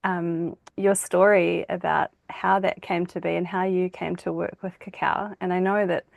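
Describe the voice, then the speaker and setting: A woman hosting a podcast, asking questions warmly and encouraging her guest with quick affirmations and easy laughter. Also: on the low side, flat delivery, gravelly, no room sound, lo-fi sound. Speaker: podcast host. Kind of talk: casual interview.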